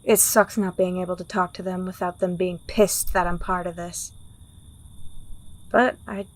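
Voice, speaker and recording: A woman's voice: fairly low pitch, level tone, measured pace, rough recording. A woman playing a world-weary, pragmatic underworld operative in a fantasy audio drama, blunt and wry with a teasing edge. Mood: somber, resigned.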